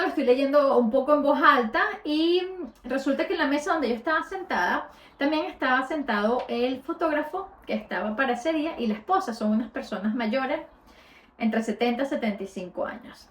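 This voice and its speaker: Host latinoamericana, voz más bien aguda, narración personal con titubeos. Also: female voice, high voice, animated, brisk, echoey room. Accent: Latin American Spanish. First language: Spanish. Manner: conversational, with frequent hesitations. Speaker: podcast host. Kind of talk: personal storytelling.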